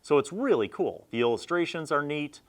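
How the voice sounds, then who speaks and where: A man's voice: on the high side, expressive, normal speed. A middle-aged man talking casually and conversationally as the host of a review video, rambling a little as he comments. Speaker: host of a video series reviewing old roleplaying magazines.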